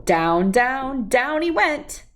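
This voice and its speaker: A woman speaking as a children's read-aloud host, expressive and storybook-like, with drawn-out emphasis. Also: high voice. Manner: slow, animated delivery with drawn-out emphasis for young listeners.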